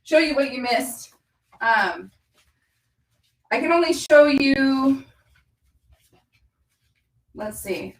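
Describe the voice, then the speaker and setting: Woman host, low-voiced and chatty, gushes with enthusiasm through a casual livestream. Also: lively intonation, drawn-out words, pauses often, poor audio. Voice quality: low-pitched voice.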